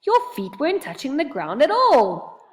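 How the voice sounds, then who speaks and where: A woman voicing a big, gentle, self-conscious young male bigfoot in a children's storybook. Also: high voice, wildly swooping pitch, moderate pace, long reverb tail, pitched above the performer's own voice. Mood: encouraging.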